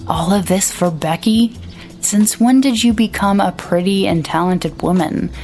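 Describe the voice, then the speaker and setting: A woman voicing an irritable, scornful young man who scolds his sister, pitched a little above her narration, with faint music behind. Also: measured pace, darkish tone, lightly acted.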